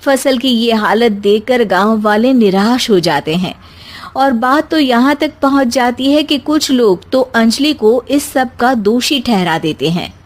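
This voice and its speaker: A woman's voice: fairly high pitch, expressive, unhurried. A woman narrating a scripted story as a storyteller.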